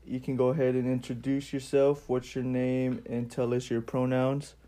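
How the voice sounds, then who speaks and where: A man's voice: medium pitch, completely flat delivery, moderate pace, mellow, no room sound. A young man hosting a casual amateur podcast and interviewing a guest. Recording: faint ambient background noise.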